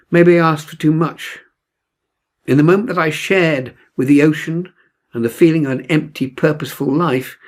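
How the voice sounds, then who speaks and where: A man reading a novel aloud as dramatic literary narration, expressive and measured. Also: fairly high pitch. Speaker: audiobook reader.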